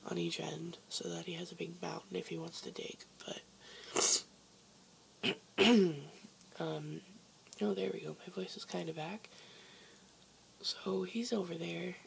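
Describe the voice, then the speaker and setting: A woman vlogger with a hoarse voice, chatting casually and rambling with lots of ums from her basement bedroom. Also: lowish voice, fairly even intonation, a little slow, long pauses, a little hiss or hum, low-quality recording. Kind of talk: casual chat to camera.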